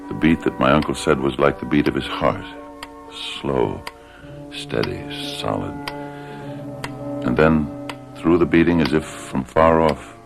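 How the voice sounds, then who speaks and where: A man's voice: very deep voice, vocal fry, full character voice. An actor playing an anguished, nervous father haunted by a ticking clock, in a radio drama. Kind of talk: radio drama acting with diary narration. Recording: old radio drama with music behind.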